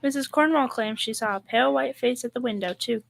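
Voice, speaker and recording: A woman's voice: on the high side, brisk, vocal fry. A young female performer playing a wry, long-suffering housewife and mother in a school old-time radio comedy. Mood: gossipy, concerned. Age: young teen.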